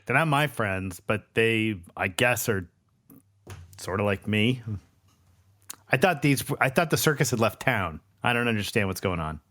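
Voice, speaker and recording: A man's voice: on the low side, lively intonation, unhurried, a bit bright. A man casually improvising as a player in a tabletop role-playing game, sounding incredulous.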